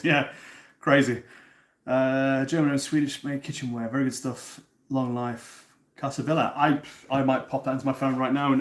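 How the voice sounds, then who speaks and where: Casual cooking-stream host, a man in his thirties from North Wales, chatty with lots of restarts, mid-pitched, echoey kitchen sound. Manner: chatty, frequent word repeats and restarts, swears casually. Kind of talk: casual live-stream chat, answering viewers. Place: home kitchen.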